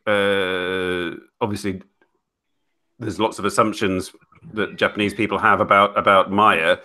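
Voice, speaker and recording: A man hosts a relaxed living-room interview. His lowish voice is bright and forceful, and he stumbles through his questions, restarting phrases over and over. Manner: halting, restarts phrases often. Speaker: host. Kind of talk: casual interview chat.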